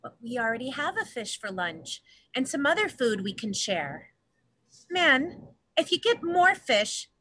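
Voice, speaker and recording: A woman's voice: high voice, big pitch swings, measured pace, pushed and bright, exaggerated voice. A woman playing a cautious, sceptical early human woman in a dramatic play reading. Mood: wary, scolding. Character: first Woman, cautious, sceptical, gently scolding. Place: room at home, on video call.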